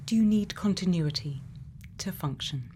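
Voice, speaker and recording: A woman doing an ASMR roleplay as a gentle, caring scientist, speaking softly and whispering in a hushed, deliberate manner close to the mic. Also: fairly low pitch, animated, slight background noise. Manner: slow, hushed, deliberate, with thoughtful pauses. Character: gentle, caring female scientist tending a robot. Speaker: ASMR creator.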